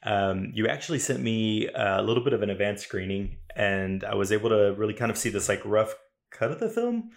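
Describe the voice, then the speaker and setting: A man's voice: lowish voice, expressive, fairly quick, gravelly. A man hosting a podcast interview, talking in a friendly, hesitant way with many fillers and restarts. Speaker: podcast host. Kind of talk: casual interview chat.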